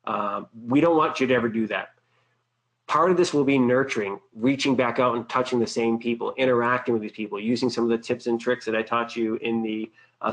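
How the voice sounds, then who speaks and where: A man's voice: mid-range voice, rough recording. A man presenting a business sales webinar in a conversational way, with frequent fillers and "right?" checks. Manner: conversational, uses "uh" and "right?" often.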